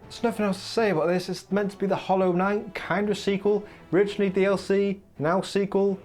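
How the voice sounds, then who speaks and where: A man's voice: sits high, fairly quick. A man hosting a gaming countdown in casual commentary, rambling and opinionated, his voice wearing thin. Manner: opinionated, rambling, lively asides. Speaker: gaming video host. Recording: faint music behind.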